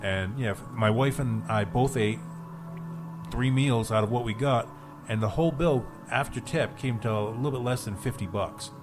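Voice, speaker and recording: A man talks casually as a radio host, with clear music running underneath. He rambles through local restaurant reviews in a halting, self-deprecating, joking manner, at an ordinary middle pitch. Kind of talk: rambling casual studio chat. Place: radio studio. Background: music under the talk.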